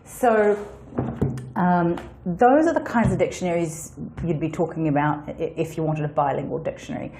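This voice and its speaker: A woman's voice: fairly low pitch, normal speed, background noise. A woman hosting a solo educational podcast, explaining things in a chatty way with fillers and restarts. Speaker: podcast host.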